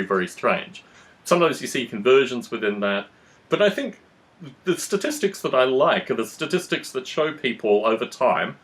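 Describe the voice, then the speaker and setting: A man's voice: mid-range voice, a bit bright. A man hosting a conversational discussion, explaining his views in a long-winded, analytical way. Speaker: podcast host.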